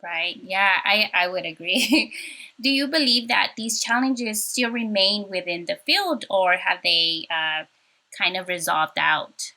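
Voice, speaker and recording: A woman's voice: mid-range voice, takes it slowly, pushed and bright, rough recording. A woman interviewer putting prepared questions to a guest in a conversational way over a video call.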